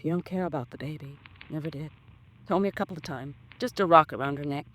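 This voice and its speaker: A woman's voice: deep voice, quick pace, a bit bright, poor audio. An actress playing a bitter, resentful woman in a 1950s radio crime drama, talking in terse, clipped colloquial phrases. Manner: terse, clipped working-class colloquial talk. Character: bitter, resentful woman blaming her neglectful sister.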